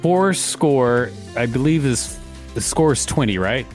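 A man's voice: medium pitch, expressive, measured pace, soft background music. A man co-hosting a podcast, reading an encyclopedia article aloud with casual asides. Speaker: podcast co-host and reader.

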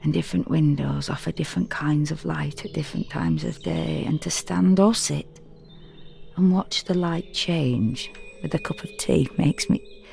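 An actress playing a frank, wry, solitary woman in an audio drama monologue, confiding in an intimate, deadpan way in short, halting phrases. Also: low voice, creaky, full character voice. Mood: quietly content. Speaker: actor performing a solo audio drama. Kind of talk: first-person dramatic monologue, confessional and conversational.

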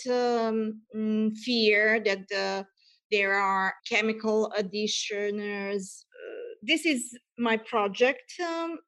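Slow, hesitant woman from Serbia. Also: a little high. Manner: hesitant, many fillers, non-native phrasing. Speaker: guest, preserves producer. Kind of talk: interview answers.

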